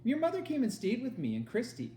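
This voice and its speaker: A man's voice: very high voice, huge pitch swings, quick pace, warm tone, roomy sound, a little hiss or hum, voice pushed up, full character voice. A man voicing an earnest, reassuring young girl confiding in her best friend in a storybook reading. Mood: somber.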